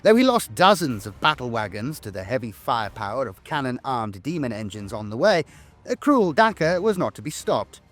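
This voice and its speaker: A man's voice: mid-range voice, very animated, moderate pace, a bit bright. A man delivering scripted lore narration in a grandiose, theatrical storytelling manner. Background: background music.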